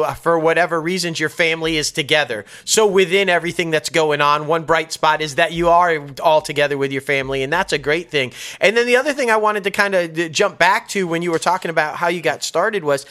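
A man's voice: a little high, pushed and bright. A man hosting an interview, encouraging and affirming his guest in an upbeat way. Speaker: podcast host.

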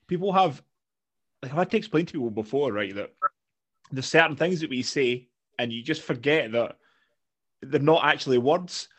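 A man's voice: medium pitch, normal speed, pauses often. Scottish guy just chatting, swears a lot, direct, keeps restarting his sentences. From Scotland. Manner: blunt, sweary, rambling with restarts. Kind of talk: casual chat.

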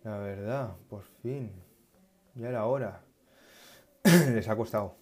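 A man talks through tech news in an unhurried, low and mellow voice. He often stops mid-word, restarts and corrects himself, musing aloud as he goes. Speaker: tech vlogger. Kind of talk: casual tech news chat.

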